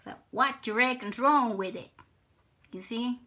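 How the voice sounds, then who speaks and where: Slow-talking woman with a Puerto Rican accent. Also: on the high side. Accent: Puerto Rican Spanish mixed with Southern US. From Puerto Rico. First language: Spanish. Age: older. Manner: halting, repeats words, soft-spoken. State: nervous. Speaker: livestream artist. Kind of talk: casual live stream chat while drawing.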